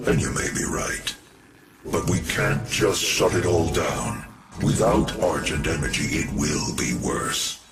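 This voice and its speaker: An actor playing a grave, calculating director of an energy operation in a video game, speaking in a measured, solemn way. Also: male voice, a bass voice, animated, full character voice. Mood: grave, resigned. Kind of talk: video game cutscene dialogue. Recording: echoey, heavy loud background noise.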